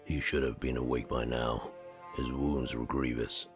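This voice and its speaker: Very deep, solemn ancient spirit. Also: male voice, level tone, measured pace, over music. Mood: calm, commanding. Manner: cryptic, formal, speaks of itself as we. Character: ancient many-voiced spirit possessing a dead man's body, solemn. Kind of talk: visual novel game voice acting.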